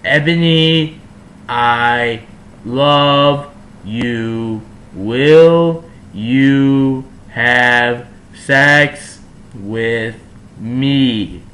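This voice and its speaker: A man's voice: medium pitch, fairly bright tone, low-quality recording. A man voicing a fat villainous wizard's henchman, melodramatic and overwrought, in a story reading. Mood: lovesick. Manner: overwrought, drawn-out.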